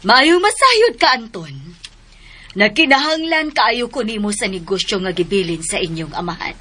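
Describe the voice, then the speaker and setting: A woman's voice: mid-range voice, sing-song, normal speed, bright, forceful tone, slight background noise. A woman with a southern Philippine accent playing a stern, exasperated mother, reproachful and commanding, in a radio drama. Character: stern, exasperated widowed mother and household mistress.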